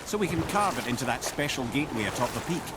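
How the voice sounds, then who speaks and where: A male actor voices a wry old Scottish sage for a video game. His delivery is eloquent and theatrical, swinging widely in pitch like a born storyteller, over clear boat and water noise. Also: big pitch swings, fast, close to an everyday voice. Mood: informative.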